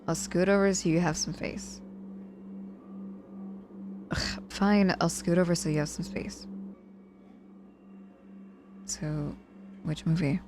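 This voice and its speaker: A woman's voice: on the low side, darkish tone, slight background noise. A woman playing a sardonic but caring young witch in an intimate ASMR audio roleplay, speaking casually and teasingly with feigned reluctance. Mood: softly teasing.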